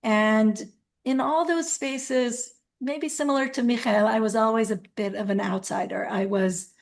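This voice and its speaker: A woman's voice: a little high, fairly even intonation, a little slow, vocal fry. A woman from Israel, speaking thoughtfully as a community organizer in a webinar, with frequent ums. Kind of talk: webinar talk. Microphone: video call.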